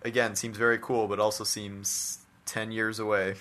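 A man's voice: on the low side, level tone, moderate pace, a bit bright. A man co-hosting a podcast, talking casually about games and tech. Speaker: podcast co-host.

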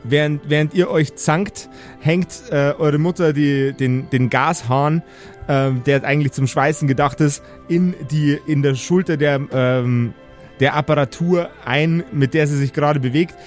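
A man's voice: on the high side, a little slow. A man improvising narration as game master of a tabletop audio play, often searching for his words. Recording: music behind the voice. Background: music.

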